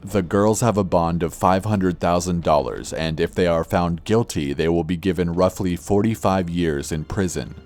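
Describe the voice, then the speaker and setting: Male narrator for scripted true-crime horror, very low register, measured and somber, music bed underneath. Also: darkish tone.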